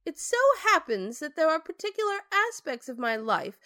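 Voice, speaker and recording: A woman's voice: sits high, sing-song, moderate pace, sharp tone, exaggerated voice. A woman playing a proud, sardonic forty-year-old stage actress in an audio drama, with theatrical delivery.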